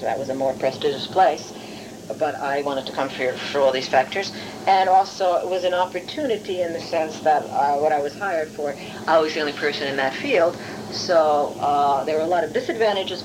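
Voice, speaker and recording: Middle-aged Hungarian-born woman, noisy recording. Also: deep voice. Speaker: university professor being interviewed. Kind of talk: oral history interview, recollection.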